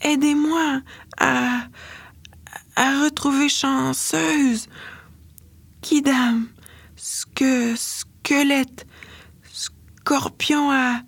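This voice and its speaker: A woman's voice: high-pitched, steady tone, very slow, gravelly, pauses often, no room sound, pitched above the performer's own voice, full character voice. A woman voicing a feverish young girl who rambles in broken, frantic words. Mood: delirious.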